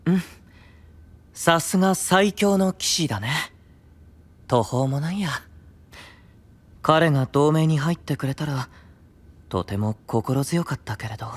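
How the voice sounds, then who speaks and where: An actor playing a calm, enigmatic, stubborn boyish knight, speaking in an unhurried, wistful way in a fantasy audio drama. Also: male voice, on the high side. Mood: quiet, disappointed. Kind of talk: anime-style fantasy audio drama acting.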